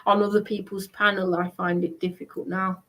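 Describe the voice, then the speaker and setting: A woman hosting a livestream, chatting casually in hesitant, halting phrases. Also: medium pitch, level tone, fairly bright tone. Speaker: livestream host.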